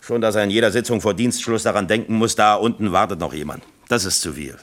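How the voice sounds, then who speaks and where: A man's voice: fairly low pitch, quick pace, natural voice. A man playing a brusque, authoritative East German factory party secretary in a German radio play, commanding and lecturing in manner. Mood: firm, lecturing.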